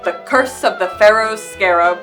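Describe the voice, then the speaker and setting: A woman announcing an old-time radio mystery in a dramatic, deliberate scripted style. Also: medium pitch, music in the background, natural voice.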